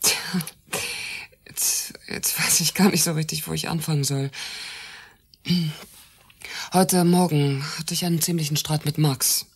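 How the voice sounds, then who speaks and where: A husky, wounded former mistress. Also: female voice, low voice, fairly even intonation, full character voice. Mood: hesitant, polite. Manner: sarcastic, reproachful, hesitant pauses. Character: bitter, jealous secretary and former mistress of her boss. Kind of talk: naturalistic German radio play acting.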